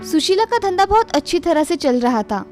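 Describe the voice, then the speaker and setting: A woman's voice: very high voice, big pitch swings, moderate pace, a little mellow, faint music behind. A woman narrating a scripted moral story for children.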